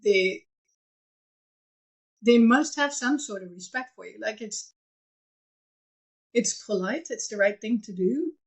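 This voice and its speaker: Slow, thoughtful higher-voiced woman. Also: husky, low-quality recording. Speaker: podcast co-host. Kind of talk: casual conversation.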